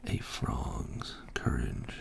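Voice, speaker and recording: A man softly telling a rambling ASMR bedtime story in soothing, halting phrases, whispering close to sensitive microphones. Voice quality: breathy whisper mixed with low creaky voice. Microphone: close high-sensitivity microphones. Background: soft writing and tapping trigger sounds.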